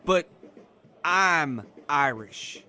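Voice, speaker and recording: An actor in an audio drama playing a boisterous, folksy Irish-American barfly who loves being the center of attention. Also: male voice, on the high side, lively intonation, very slow, bright, forceful tone, clean tone, soft background music, heavily acted. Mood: bewildered, indignant.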